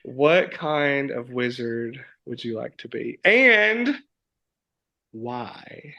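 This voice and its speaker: Bubbly, gravelly-voiced playful man. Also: medium pitch, animated, drawn-out words, pushed and bright. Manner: upbeat and playful, many "like" fillers, laughs often; theatrical wizard persona, grand mock-formal phrasing. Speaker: podcast guest. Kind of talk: enthusiastic casual chat.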